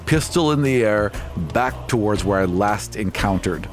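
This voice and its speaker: A man's voice: fairly low pitch, animated, unhurried, faint music behind. A man playing an aggrieved, proud merchant ship captain in a tabletop game, speaking earnestly and dramatically. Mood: vengeful. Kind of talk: improvised tabletop roleplay.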